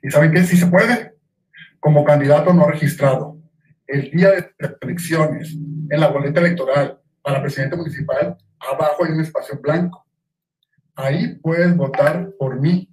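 Man, Mexican accent, independent candidate, direct appeal to voters, slightly high voice, roomy distant recording. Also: a little mellow. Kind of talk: direct campaign appeal to camera. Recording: echoey, distant played-back video.

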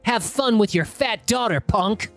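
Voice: medium pitch, unhurried, creaky, soft background music, pitched above the performer's own voice. A woman voicing a hostile, dismissive entitled father in a dramatized online story. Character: hostile, curt entitled dad defending his family.

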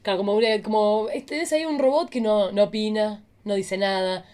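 A woman's voice: mid-range voice, fairly even intonation, normal speed, darkish tone. A woman with a Rioplatense Argentine accent, chatting casually as part of a panel.

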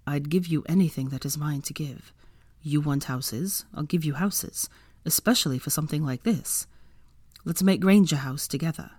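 A woman reads as a brooding, tender man in a romantic story. The voice sits low and dry, protective and devoted. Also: normal speed, dark tone, breathy edge, dry recording.

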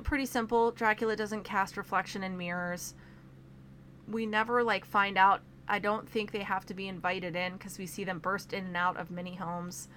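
A woman's voice: medium pitch, fairly bright tone. Young woman, casual film review host, chatty and organized, faint background noise. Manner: chatty, organized by topic, with frequent "um" and "like".

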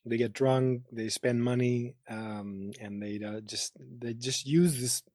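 A man in his thirties speaks with a Greek accent in a medium-pitched voice. He chats as a guest, haltingly feeling for words in a language that is not his first. The recording is dry, with very little room sound. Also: steady tone, a little mellow, dry recording. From Greece. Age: 30s. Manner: halting, searching for words in non-native English. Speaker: interview guest. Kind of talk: casual personal conversation.

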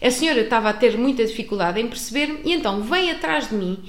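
A woman with a European Portuguese accent telling a personal travel story to an audience, expressive, with many restarts. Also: on the high side, fast talker. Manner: expressive, acts out dialogue, frequent restarts. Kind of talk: animated storytelling. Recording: roomy echo.

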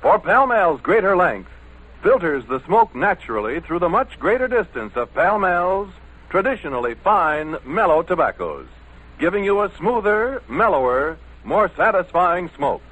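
A man's voice: high voice, sing-song, moderate pace, fairly bright tone, husky. A man announcing in dramatic, declamatory 1940s radio style, on a dull old broadcast recording.

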